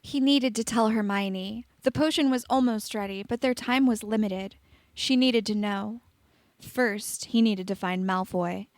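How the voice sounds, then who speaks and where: A woman's voice: medium pitch, measured pace, no room sound, studio quality. A woman reading scripted fiction aloud as a storyteller. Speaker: fan fiction reader.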